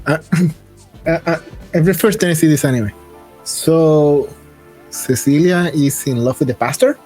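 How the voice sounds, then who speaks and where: Excited host guy, trips over words, faint music in back. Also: a little high. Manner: excitable, quick, stumbling phrasing. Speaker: podcast host. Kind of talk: casual interview chat. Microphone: remote video call.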